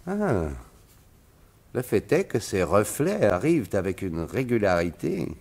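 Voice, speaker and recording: An actor playing a curious, puzzled friend in a 1950s French radio drama, asking hesitant questions. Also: male voice, lowish voice, very animated, unhurried, creaky, darkish tone, exaggerated voice. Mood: curious, amused. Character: curious, chuckling male friend of a detective-burglar.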